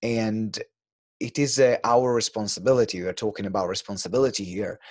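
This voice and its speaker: A man's voice: lowish voice, fairly even intonation, brisk, darkish tone, no echo, clean studio sound. A man from Italy with an Italian accent, a business owner answering interview questions with energy and lots of ums and uhs.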